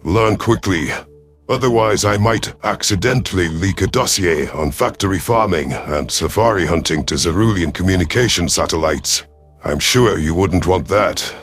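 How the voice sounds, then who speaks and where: A man voicing a cold, calculating alien predator commander in a sci-fi audio story, imperious and menacing, with a rough husky voice. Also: low-pitched, moderate pace, faint music behind. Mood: imperious, threatening. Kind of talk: dramatic first-person sci-fi audio story.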